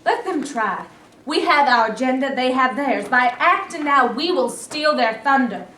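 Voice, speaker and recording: A woman playing an impatient, militant activist in a staged reading, speaking in forceful, insistent rallying phrases. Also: high-pitched, lively intonation, normal speed. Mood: defiant urgency. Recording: echoey room, distant mic, faint noise.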